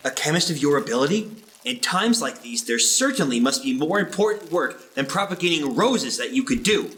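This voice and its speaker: A man's voice: a little high, sing-song, moderate pace, fairly bright tone, husky, heavily acted. A young man playing an earnest, flustered boy lab helper in a retro radio drama, hesitant, stammering and pleading. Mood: questioning. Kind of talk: retro 1940s-style radio drama acting.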